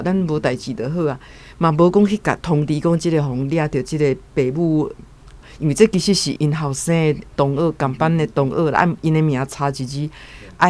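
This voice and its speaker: Mid-pitched Taiwanese commentator. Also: a little mellow. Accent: Taiwanese Hokkien. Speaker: guest commentator. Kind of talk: informal retelling and commentary.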